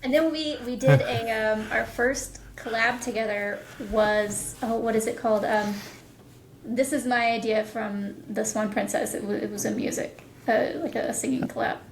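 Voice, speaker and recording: A woman's voice: a little high, fairly even intonation, normal speed, slight background noise. A woman chatting casually as a guest in a podcast interview. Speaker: guest, audio drama producer and voice actor. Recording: roomy, echoing sound.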